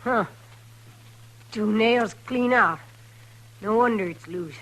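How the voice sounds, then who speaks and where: A woman's voice: medium pitch, slow pace, fairly bright tone. An actress playing a hardened, proud old frontier mother in a 1950s radio western, curt and bitter in manner. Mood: curt, stubborn. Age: older. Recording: noisy old radio broadcast recording.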